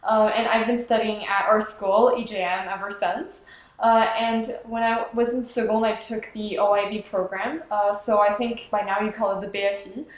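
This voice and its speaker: A young woman from China carefully goes through her school subjects, filling pauses with uhs. Her voice has a mid pitch and an echoey room around it. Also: steady tone. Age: young adult.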